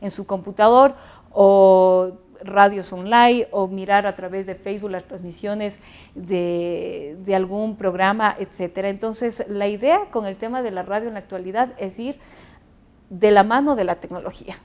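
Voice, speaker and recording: A woman's voice: mid-range voice, steady tone, normal speed, darkish tone. A woman, a radio broadcaster invited as a guest, speaking with enthusiasm and plenty of fillers and restarts. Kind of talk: panel discussion answers.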